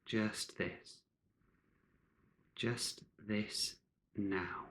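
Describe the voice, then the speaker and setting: A man leading a guided meditation in calm, measured short phrases with long pauses between them. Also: lowish voice.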